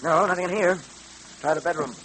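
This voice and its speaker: An actor playing a decisive police detective in an old radio drama, with a clipped, businesslike manner. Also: male voice, high-pitched, brisk, fairly bright tone, rough recording. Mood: urgent. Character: decisive, brisk male police detective hero. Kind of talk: 1930s radio drama acting. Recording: hissy old radio recording.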